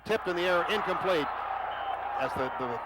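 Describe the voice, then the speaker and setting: Brief: male radio play-by-play caller, higher voice, noisy broadcast. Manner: rapid excited calls rising to shouts on big plays. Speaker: play-by-play announcer.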